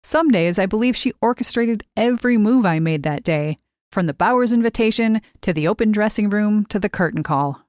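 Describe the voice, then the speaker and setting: A woman's voice: medium pitch, animated, a little fast, darkish tone, dry recording, clean studio sound. A woman reading literary first-person short fiction as an audiobook-style narrator.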